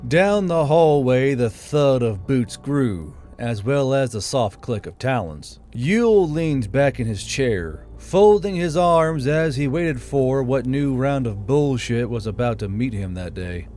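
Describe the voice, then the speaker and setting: A man's voice: mid-range voice, expressive, takes it slowly, hardly any pauses. Male fantasy audiobook narrator: mid-range voice, scripted storytelling, light music underneath. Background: quiet background music.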